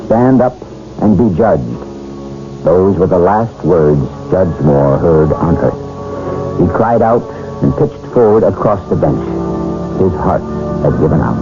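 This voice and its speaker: A man's voice: deep voice, vocal fry. A man hosting a radio drama, narrating in a dramatic storytelling style. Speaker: host narrator. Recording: muffled old radio broadcast, music behind.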